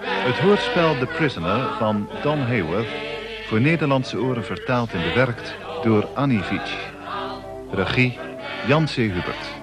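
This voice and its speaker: Male radio drama announcer: slow, deliberate credits read, medium pitch, loud music behind. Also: lively intonation. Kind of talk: scripted radio play introduction.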